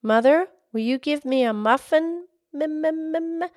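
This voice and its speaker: A woman's voice: high-pitched, a little slow, darkish tone, no echo, studio quality, voice pushed up. A woman doing a rude, bossy little boy. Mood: demanding. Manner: bossy, blunt.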